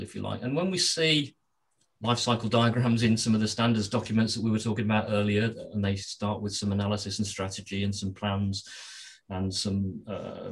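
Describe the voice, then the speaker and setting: A man guest expert explaining his field in a measured way, often restarting phrases, with a slightly hoarse voice. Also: lowish voice. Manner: measured, with many restarts and repeated words. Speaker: business continuity expert and author, guest. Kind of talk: explanatory interview answers.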